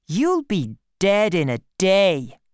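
Woman playing a cool, commanding boy, lively pitch. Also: no room sound. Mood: coolly threatening. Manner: assured, deliberate, commanding. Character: confident, cunning Irish boy, cool and commanding.

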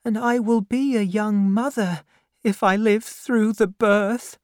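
A woman voicing a frightened, tearful young widowed mother who speaks softly and timidly in a storybook reading. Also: mid-range voice, fairly even intonation, takes it slowly, no room sound, studio quality, pitched above the performer's own voice, close to an everyday voice. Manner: soft, timid.

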